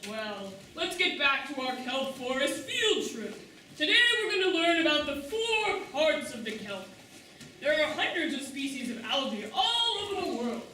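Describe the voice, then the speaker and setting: A woman playing a lively, bossy manta ray teacher, giving encouraging lessons to children in a puppet show. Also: high-pitched, a little hiss or hum, exaggerated voice. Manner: exclamatory, encouraging, instructive. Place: large room at open house puppet show. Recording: very echoey hall, far mic, crowd.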